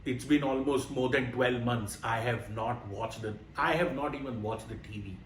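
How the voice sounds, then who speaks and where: A man's voice: mid-range voice, normal speed. A man in his late thirties from India, co-hosting a motivational podcast in an earnest, emphatic way that repeats phrases. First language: Indian languages. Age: 30s. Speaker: podcast co-host. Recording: roomy, distant mic with faint noise.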